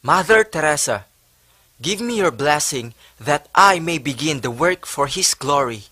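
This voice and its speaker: A man's voice: fairly high pitch, lively intonation, unhurried, pushed and bright, breathy edge, exaggerated voice. A man playing a devout, eager Spanish friar in a historical stage play, earnest and reverent.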